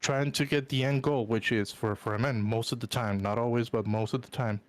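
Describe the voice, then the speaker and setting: A man's voice: mid-range voice, steady tone, a little fast. Hesitant sick man from Puerto Rico. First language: Spanish. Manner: hesitant, many fillers and restarts. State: sick, congested. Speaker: caller. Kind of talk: debate call on a live stream. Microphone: voice call.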